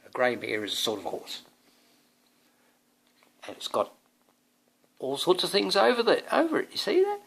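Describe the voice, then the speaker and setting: A man's voice: high voice, wildly swooping pitch, normal speed, creaky, pauses often, a bit bright, slight background noise. A man, a father, reading a picture book aloud to his young son, drawing out words expressively and adding chatty asides that prompt the child.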